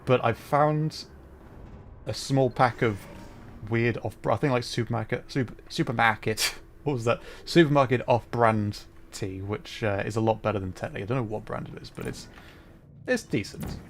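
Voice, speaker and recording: Male VTuber streamer: mid-pitched, chatty and rambling, casual gameplay talk with faint noise behind. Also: normal speed. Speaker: livestreamer, VTuber. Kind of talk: casual gameplay commentary and chat. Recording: faint background noise, game ambience.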